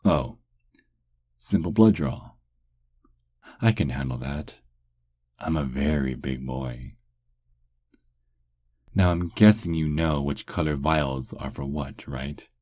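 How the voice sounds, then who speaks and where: A man playing a sarcastic, flirtatious captive werewolf in an ASMR roleplay, teasing with lingering pauses. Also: rumbling low, warm tone, no echo, heavily acted. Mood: cocky, amused.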